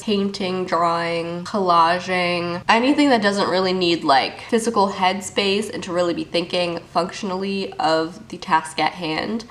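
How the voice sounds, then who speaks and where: A woman's voice: lowish voice, a bit bright. A young woman book vlogger chatting casually to camera, upbeat and full of fillers, sniffly from a cold. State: sick and sniffly, blocked ears.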